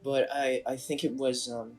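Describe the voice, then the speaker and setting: An actor voicing an earnest teenage schoolboy in an audio drama, with a halting, questioning manner. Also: mid-range voice, flat delivery, breathy edge, faint music behind, lightly acted. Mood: troubled, halting. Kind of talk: scripted audio drama acting.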